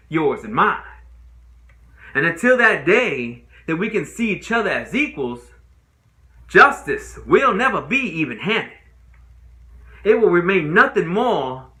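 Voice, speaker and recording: Young male trial lawyer, played by a man, courtroom monologue. Earnest and pleading, emphatic, with a sharp edge and very lively intonation. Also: medium pitch. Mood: impassioned. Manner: deliberate, emphatic, with dramatic pauses. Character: young male Southern trial lawyer giving an impassioned, pleading closing argument. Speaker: amateur actor. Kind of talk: dramatic monologue, courtroom closing argument.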